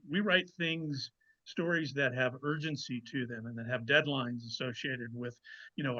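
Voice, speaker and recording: Dull lo-fi recording, man, bright forceful voice, guest author, agreeable, measured explanations. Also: mid-range voice. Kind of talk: casual interview chat. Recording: dull lo-fi call audio.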